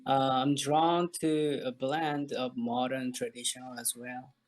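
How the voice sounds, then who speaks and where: A man's voice: medium pitch, little pitch movement, measured pace, a little mellow, faint music behind. A man from South Korea with a Korean accent, answering questions as a guest in a casual interview.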